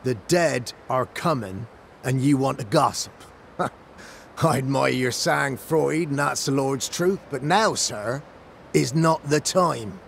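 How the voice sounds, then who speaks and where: A man's voice: medium pitch, slow pace, vocal fry, slight background noise. A man playing a chatty but wary, stubbornly dutiful fort sentry in a video game, with wry, old-fashioned turns of phrase. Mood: dismissive. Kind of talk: dramatic video game dialogue.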